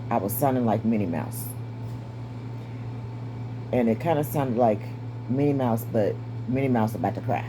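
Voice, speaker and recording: A woman in her fifties with a raspy, strained voice and short breath, giving a candid personal update. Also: rumbling low, noisy background. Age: 50s. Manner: candid, wry, stays positive. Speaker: vlogger. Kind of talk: casual personal update to camera.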